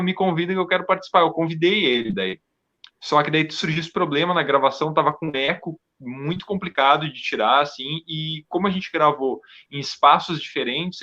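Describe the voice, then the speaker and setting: Higher-pitched Brazilian man, dull recording. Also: sharp tone. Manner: halting, repeats words. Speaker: podcast guest, audio drama creator. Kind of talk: casual interview chat. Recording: dull lo-fi microphone.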